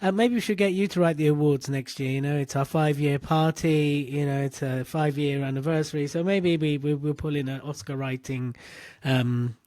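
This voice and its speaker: A man's voice: fairly high pitch, fairly even intonation, normal speed, a little mellow. Jokey male podcast host. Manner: jokey, enthusiastic interjections. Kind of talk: interview hosting.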